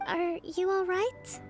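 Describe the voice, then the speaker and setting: An actress playing a gentle, dutiful young leader in a video game, polite and formal with a quiet weariness. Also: female voice, extremely high pitch, full character voice. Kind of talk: English video game voice acting. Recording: music behind the voice.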